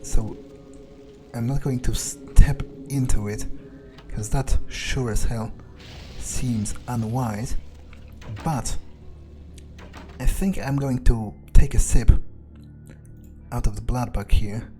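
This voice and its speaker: A man plays through a game in character as a cynical, foul-mouthed vampire. He muses aloud slowly and hesitantly, with dry sarcasm. His voice is mellow and relaxed, over faint music. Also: medium pitch. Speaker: let's player speaking in character. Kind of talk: in-character gaming commentary, thinking aloud.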